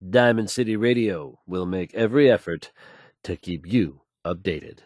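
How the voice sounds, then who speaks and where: Assured game radio newsman, acted by a man: lower register, fluent folksy announcer delivery, dry and close. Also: moderate pace, a little mellow, dry recording, close to an everyday voice. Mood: grave, confident. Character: confident, smooth, assured male radio newscaster. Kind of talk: scripted radio news announcing in a video game.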